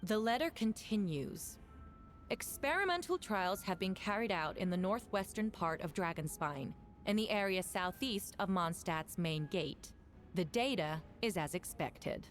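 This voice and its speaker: A woman playing a formal, deliberate female prosecutor in a voice-acted video game. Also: medium pitch, expressive, natural voice. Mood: grave reading aloud. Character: formal, resolute female prosecutor presenting evidence in court. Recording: game audio with faint music behind.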